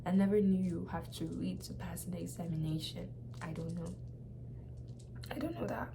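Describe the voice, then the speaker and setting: A young woman with a Nigerian accent shares a personal testimony in a casual, halting way, often repeating phrases for emphasis. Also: fairly low pitch, steady tone, creaky, background noise. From Nigeria (Lagos). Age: young adult. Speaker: vlogger.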